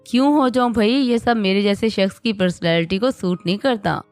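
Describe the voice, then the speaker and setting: A woman's voice: medium pitch, moderate pace, darkish tone, no room sound, soft background music, close to an everyday voice. Woman reading as a teasing young husband. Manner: bantering, teasing.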